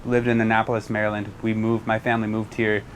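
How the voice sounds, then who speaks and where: A man's voice: on the low side, completely flat delivery, fast, slight background noise. A cigar lounge owner with an El Paso Texan accent, speaking earnestly in a casual interview and often repeating words as he starts a sentence.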